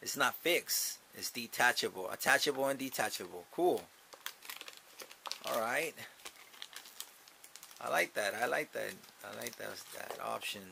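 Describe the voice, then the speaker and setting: A man's voice: mid-range voice, animated, measured pace, vocal fry, long pauses, noisy background. A young man casually talking through an unboxing in a small grow tent, upbeat and repeating himself as he thinks aloud. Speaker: gardening channel host. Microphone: camera mounted above.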